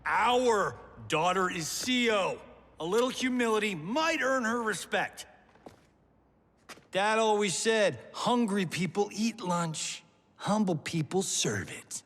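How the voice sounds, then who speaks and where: Game role: wry middle-aged American action star, slow delivery, wide swings, long reverb. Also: male voice, high voice, lively intonation, a bit bright, exaggerated voice. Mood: chiding. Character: middle-aged Hollywood action star, mellowed but wisecracking fighter. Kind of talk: video game cutscene acting. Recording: noisy, reverberant game scene.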